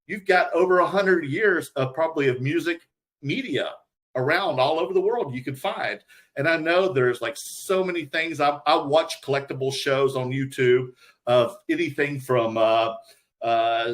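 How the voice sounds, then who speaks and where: A man's voice: medium pitch, fairly bright tone. A man hosting a podcast in a casual, conversational chat about collecting. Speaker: podcast host.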